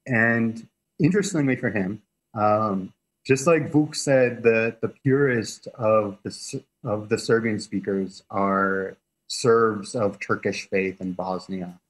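A man appearing as a guest expert, explaining history in a relaxed way and chuckling as he goes, heard over a remote video call. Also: on the low side, slow pace, poor audio.

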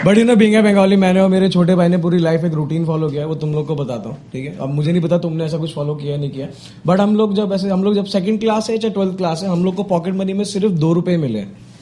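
High-voiced young Indian stand-up comedian, a man. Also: fast talker, mellow. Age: young adult. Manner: conversational, exasperated, acting out dialogues. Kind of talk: stand-up comedy storytelling in casual Hinglish. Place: small indoor comedy club stage. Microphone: handheld microphone. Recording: noisy live club with audience laughter. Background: audience laughter and noise.

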